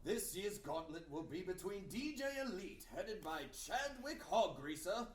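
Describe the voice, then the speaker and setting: A man's voice: high voice, sing-song, measured pace, soft background music. A man playing a pompous, grandiose master of ceremonies at a Renaissance fair, proclaiming in mock-archaic style at a comedy table read. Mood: grand proclaiming. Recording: roomy, distant mic, faint noise.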